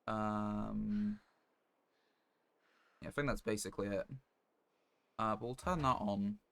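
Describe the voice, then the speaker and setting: A man streaming a game live, giving casual commentary in a halting, rambling way as he thinks aloud. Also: lowish voice, steady tone, vocal fry, a bit bright. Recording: noticeable background noise.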